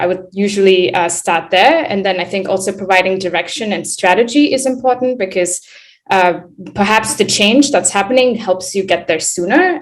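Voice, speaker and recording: A woman from India with an American accent, speaking casually and thoughtfully as a panelist on a video call, with many fillers. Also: medium pitch. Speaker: panelist, engineering director. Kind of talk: panel discussion.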